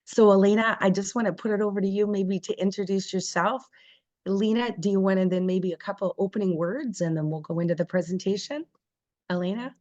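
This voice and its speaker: A woman's voice: medium pitch, fairly quick, darkish tone, no room sound, dull audio. A woman from Canada hosting an online information session, friendly and introducing each speaker in turn over a video call. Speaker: host.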